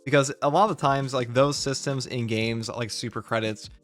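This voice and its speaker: A man who makes gaming videos, casually discussing a video game and explaining his opinions in a measured way. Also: mid-range voice, steady tone, a bit bright, faint music behind. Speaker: gaming YouTuber.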